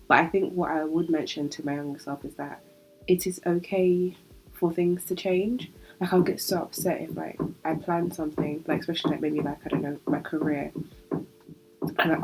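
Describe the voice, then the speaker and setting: Young british woman podcasting alone, stuffy nasal cold voice, low and mellow, chatty. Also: rough recording. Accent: British English. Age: young adult. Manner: chatty, reflective, laughs easily. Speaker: podcast host. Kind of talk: solo podcast monologue.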